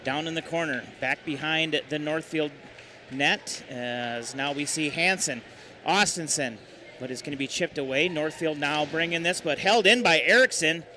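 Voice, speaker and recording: A man calling a hockey game play-by-play, rattling off each pass and shot at a brisk clip. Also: a little high, slight background noise. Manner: brisk, rattling off puck movement, excited on goals. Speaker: play-by-play announcer.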